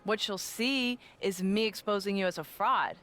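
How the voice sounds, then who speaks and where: A woman's voice: medium pitch, normal speed, fairly bright tone, soft background music, natural voice. A woman playing a scheming, domineering wrestling faction leader in a video game cutscene, with a confrontational, self-important manner. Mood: defiant, smug.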